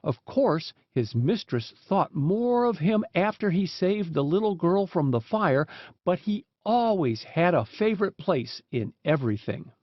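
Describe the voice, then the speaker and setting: A man's voice: high-pitched, sing-song, a little slow, no room sound. A man reading a short story aloud as an audiobook narrator, in scripted storybook narration on a dull, lo-fi recording.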